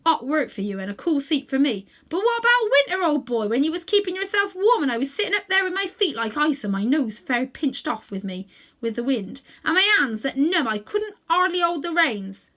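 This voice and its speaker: A woman's voice: high-pitched, big pitch swings, brisk, a bit bright, voice pushed up. A woman voicing a plain-spoken working-class cabbie man in a children's storybook, chatty and emphatic. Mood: arguing his case. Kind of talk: children's storybook character reading.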